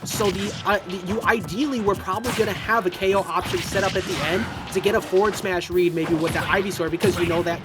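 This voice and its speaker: Excitable esports caster with game music. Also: fast talker, few pauses. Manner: voice turns squeaky when hyped. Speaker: esports commentator. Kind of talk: live esports commentary.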